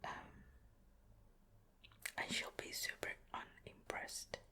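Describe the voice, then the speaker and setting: A woman hosting an ASMR show and tell in a soft, breathy whisper, chatting casually and unhurriedly close to the microphone. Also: takes it slowly. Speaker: ASMR host. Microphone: close ear-to-ear microphone.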